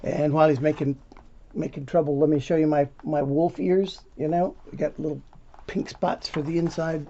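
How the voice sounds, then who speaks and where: A man's voice: a little high, level tone, moderate pace, mellow. A man reading a children's picture book aloud in an informal, interactive way, as if to young listeners. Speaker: volunteer storybook reader. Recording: dull lo-fi sound, faint background noise.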